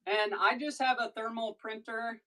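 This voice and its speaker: A woman from Missouri with a deep voice, chatting casually on a livestream while she packs and ships orders, often breaking off mid-thought to handle a task. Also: fairly even intonation, moderate pace, a bit bright. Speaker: online seller streaming a live sale.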